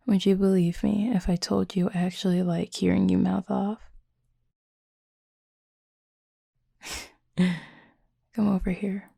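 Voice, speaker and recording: A woman voicing a flirty, caring female werewolf in an intimate audio roleplay, in a hushed, unhurried, teasing but gentle murmur. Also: medium pitch, level tone, dry recording, studio quality, heavily acted. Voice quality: breathy, husky, fry-laden murmur.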